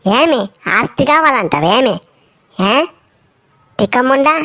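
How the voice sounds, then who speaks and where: High-pitched scolding cartoon villager voiced by a woman. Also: very animated, slow, a bit bright, full character voice. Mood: angry. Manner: scolding, confrontational, colloquial insults. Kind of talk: amateur cartoon comedy dialogue in Telugu.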